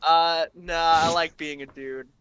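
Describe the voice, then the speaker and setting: A young man from Canada hosts a loose, casual chat with a high, bright voice that can pass for a woman's. He talks in a crisp, clear tone with a very dry, close sound. He rambles, swears freely and laughs easily. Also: fairly even intonation, no room sound, poor audio. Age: 20s. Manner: rambling and profane, quick to laugh. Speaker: podcast host.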